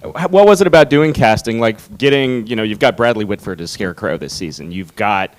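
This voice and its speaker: A man's voice: fairly low pitch, lively intonation, brisk. A man working as an interviewer, asking questions in a conversational press interview style. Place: media room at a fan convention.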